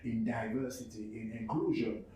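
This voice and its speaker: A man from Cameroon whose first language is French, speaking English with a Cameroonian French accent. He presents his non-profit haltingly, often repeating words and restarting. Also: on the low side, level tone, dark tone. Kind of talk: spoken presentation. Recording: very echoey room, far from mic, faint noise.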